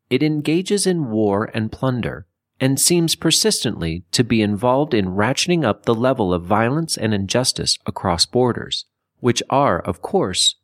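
A man reading a nonfiction book as an audiobook narrator, with measured, deliberate phrasing and emphasis. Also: on the low side, dry recording, clean studio sound.

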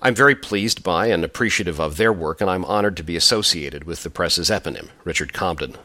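A man reading scripted audiobook narration in a formal, measured way. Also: deep voice, animated, bright, forceful tone, no room sound.

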